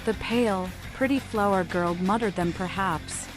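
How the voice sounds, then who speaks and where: A woman's voice: mid-range voice, moderate pace, soft background music, low-quality recording. A woman narrating gameplay and speaking cryptic lines for her player character in a theatrical manner. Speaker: gaming creator voicing her player character.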